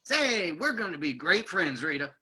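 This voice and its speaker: Performer as a husky, boisterous male prospector. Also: fairly low pitch, big pitch swings, normal speed, sharp tone, exaggerated voice. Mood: flirtatious, jovial. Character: boisterous, flirtatious male gold prospector named George. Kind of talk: old-time radio drama reading.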